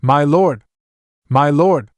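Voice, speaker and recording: A man's voice: a little high, dry recording, voice pushed up. A man doing a breathless, stammering guardsman. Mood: urgent. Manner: hurried, deferential, stammering. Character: urgent young male guardsman, breathless.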